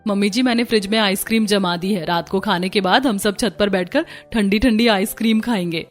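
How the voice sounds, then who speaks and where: A woman's voice: medium pitch, fairly quick, faint music behind, lightly acted. A woman voicing a caring, resilient young daughter-in-law in a cartoon story. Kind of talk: Hindi cartoon story dialogue.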